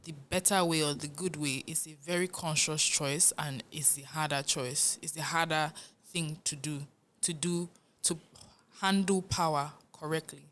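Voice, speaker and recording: A young Nigerian woman, a musician, talking in a casual interview, animated and earnest, often laughing. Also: low-pitched, moderate pace. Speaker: musician guest.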